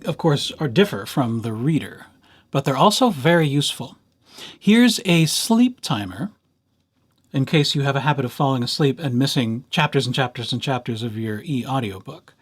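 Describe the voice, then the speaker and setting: A man presenting a casual software tutorial as a host, with playful, dryly humorous asides. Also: medium pitch, very animated, normal speed.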